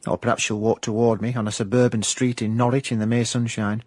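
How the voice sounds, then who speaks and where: A man acts a soft-hearted Yorkshire private eye in a radio comedy drama. His voice is husky and closely, drily recorded, and he talks with wistful, hesitant self-importance. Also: mid-range voice, little pitch movement, fast, no echo, clean studio sound, close to an everyday voice. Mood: wistful, glum. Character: hapless, sentimental Yorkshire private investigator, pompous but soft-hearted. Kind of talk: comic radio drama acting.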